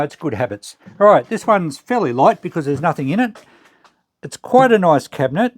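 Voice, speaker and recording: A man's voice: fairly high pitch, darkish tone, no room sound. An Australian man casually narrating as a vlog host, musing and thinking aloud as he goes. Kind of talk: casual narrated walkthrough of a shed clean-out.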